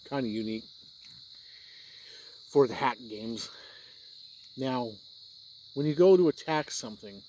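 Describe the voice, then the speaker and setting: A man's voice: mid-range voice, a little mellow, slight background noise. A man giving an unscripted explanatory review, talking plainly step by step and sometimes repeating himself. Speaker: tabletop game reviewer.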